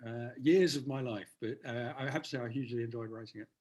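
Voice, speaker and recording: A man's voice: mid-range voice, quick pace, darkish tone. A male author giving a talk about his book in an anecdotal, explanatory style. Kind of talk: presenting to an audience, anecdotal.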